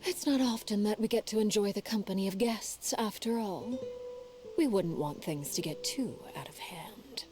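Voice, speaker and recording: An actress playing a cold, composed female leader of an orphan house in a video game, speaking in a measured, formal and hushed way. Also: medium pitch, over music, close to an everyday voice. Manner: measured, calm and formal, often near a whisper.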